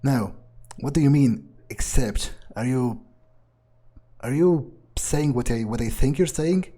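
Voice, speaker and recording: A man's voice: mid-range voice, takes it slowly, dark tone. A man playing a callous, foul-mouthed vampire in character during a video game, sneering and improvising with hesitations. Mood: disdainful.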